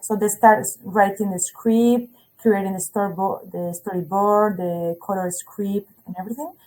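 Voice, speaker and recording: A woman's voice: mid-range voice, fairly even intonation, normal speed, a little mellow, poor audio. A woman who speaks as a presents a school webinar on a video call in English, hesitating often with fillers.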